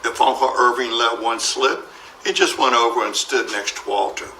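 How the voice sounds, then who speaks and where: A man reading a children's story aloud in an expressive way, acting out the dialogue. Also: medium pitch, moderate pace, recorded from a distance. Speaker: storybook reader. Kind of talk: scripted children's story narration. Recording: roomy echo, faint background noise.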